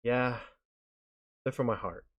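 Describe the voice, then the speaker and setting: A man reading visual novel narration aloud on a stream, haltingly, often stumbling over words and correcting himself. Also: medium pitch, dull audio. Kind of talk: live read-aloud of narration. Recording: guitar music behind the voice. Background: game background music with guitar.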